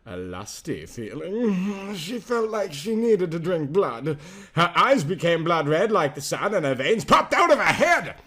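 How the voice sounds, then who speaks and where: Melodramatic male story narrator, very animated. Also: on the high side, a little slow, breathy edge. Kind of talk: dramatic amateur story reading.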